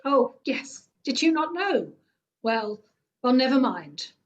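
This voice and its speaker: A woman voicing a jovial secretive gentleman. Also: high-pitched, sing-song, slow pace, muffled recording, voice pushed up, exaggerated voice. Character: cheerful, secretive middle-aged gentleman.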